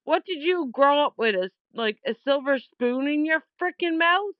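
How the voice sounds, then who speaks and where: A woman's voice: sits high, lively intonation, normal speed, no echo. A woman leaving an informal complaint voicemail over the phone, speaking in a blunt, aggrieved and emphatic way, with dull lo-fi sound. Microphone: phone call.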